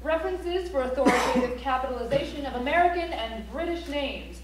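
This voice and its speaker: A woman's voice: high-pitched, lively intonation, brisk. A woman stage actor reciting rules in a precise, instructional manner, like a declarative rulebook being read out. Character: prescriptive female voice reciting capitalization rules. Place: library auditorium stage, recorded from the audience. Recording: echoey auditorium, distant mic, faint noise.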